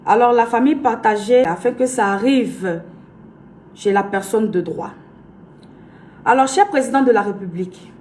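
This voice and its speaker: A woman's voice: mid-range voice, a little mellow, a little hiss or hum. A young woman from Côte d'Ivoire with an Ivorian French accent, a blogger and influencer speaking earnestly and emphatically to her followers, repeating herself for weight. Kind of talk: direct-to-camera address to followers.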